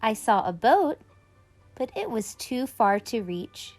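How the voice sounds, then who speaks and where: Woman, children's storytime host, higher-pitched, very lively intonation, expressive read-aloud, music underneath. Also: slow pace. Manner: animated, addressing young children directly.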